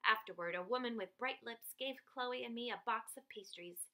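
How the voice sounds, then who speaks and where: A woman reading a picture book aloud for children as an expressive, explanatory storyteller. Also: fairly high pitch, normal speed. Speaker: read-aloud host and storybook reader.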